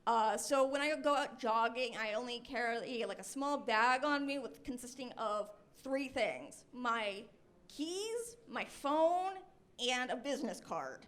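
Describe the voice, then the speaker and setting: A woman's voice: fairly high pitch, expressive, unhurried, a bit bright. A young American woman in her twenties performing a live stand-up comedy routine in a chatty, self-deprecating storytelling manner. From Los Angeles, United States. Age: 20s. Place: comedy club stage with live audience. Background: audience laughter.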